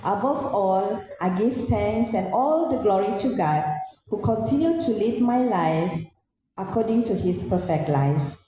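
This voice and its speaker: Slow-speaking woman in a very echoey hall. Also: medium pitch, animated, dark tone, a little hiss or hum. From Malaysia. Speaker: graduate giving a thanksgiving speech. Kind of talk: formal public testimony speech. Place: large indoor hall at a ceremony. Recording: echoey hall, long reverb, music behind. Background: music.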